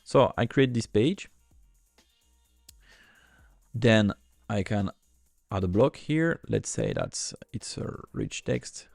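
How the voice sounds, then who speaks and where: Low gravelly man. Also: wildly swooping pitch, slow pace, pauses often, a little mellow, no room sound, clean studio sound, faint music behind. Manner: hesitant, many fillers and restarts. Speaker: software demo presenter. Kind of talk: screen-recorded software tutorial.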